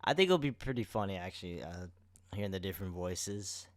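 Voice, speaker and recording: A man's voice: low-pitched, expressive, a little fast, no echo, clean studio sound. A man talking casually as co-host of a podcast, addressing listeners in everyday conversation. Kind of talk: casual chat.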